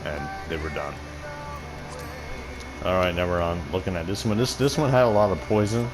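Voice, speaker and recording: A man with a deep voice casually commenting on a game and reading quest text, rambling and swearing freely. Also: moderate pace, over music, rough recording. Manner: profane, rambling, laughs often. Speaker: gaming video host.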